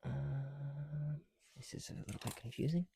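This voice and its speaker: A young man chatting casually in soft, breathy ASMR style, often dropping to a whisper, slightly breathless behind a mask, with a rambling, self-deprecating manner. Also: a little high, flat delivery, measured pace, a little hiss or hum. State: somewhat breathless through a heavy mask. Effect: speaking through a mask. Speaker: ASMR video creator. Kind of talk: ASMR, casual chat while building bricks. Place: indoors, at home.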